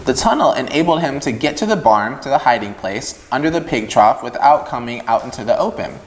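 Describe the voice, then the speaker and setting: A man reading a storybook aloud to children in an expressive narrating style. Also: medium pitch, fairly quick, a little hiss or hum. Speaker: children's story-time reader. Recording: echoey room with long reverb.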